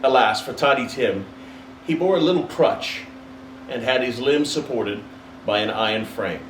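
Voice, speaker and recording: Man reading a story out loud, really animated narration, echoey room, little bit of background hiss. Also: mid-range voice, normal speed. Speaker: story reader. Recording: roomy, distant mic, faint hiss.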